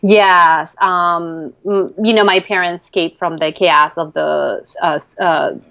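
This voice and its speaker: A woman from Argentina answering interview questions conversationally in hesitant, halting phrases. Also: on the low side, fairly even intonation, vocal fry, a bit bright, no room sound, dull audio. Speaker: entrepreneur guest.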